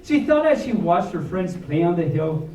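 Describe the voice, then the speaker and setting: Animated high-voiced man reading stories, roomy sound. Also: normal speed. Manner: playful, theatrical. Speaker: storyteller reading to a live audience at a convention. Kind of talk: animated storybook reading with playful asides to audience. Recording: roomy, distant mic, faint background noise.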